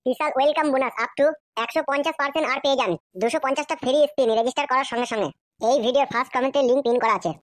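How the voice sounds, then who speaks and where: A woman's voice: sits high, steady tone, very fast, no room sound. A woman giving a scripted promotional read as an advertiser.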